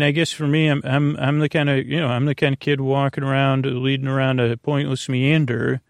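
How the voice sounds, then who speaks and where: A man with a gravelly, creaky voice talks in a dry, close space. He hosts a sleep podcast as a rambling monologue, halting, drifting off mid-thought and looping back to where he was. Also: mid-range voice, no room sound. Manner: meandering, halting, often trailing off and circling back. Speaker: podcast host. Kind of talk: rambling bedtime monologue to help listeners sleep.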